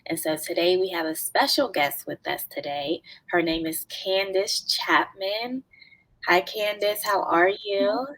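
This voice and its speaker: A woman hosts an interview podcast, her voice low-pitched and a bit congested from a cold. She encourages her guest with short affirmations. The sound is dry and close. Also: measured pace, fairly bright tone, no echo, poor audio. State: stuffy from a cold. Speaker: podcast host. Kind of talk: conversational interview.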